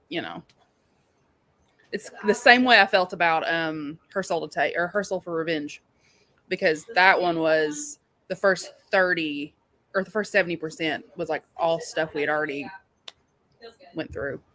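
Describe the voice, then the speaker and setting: A woman's voice: on the low side, unhurried, pauses often, a bit bright. A woman co-hosting a live readalong show, chatting about books in an informal, slangy, emphatic way. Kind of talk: casual book chat.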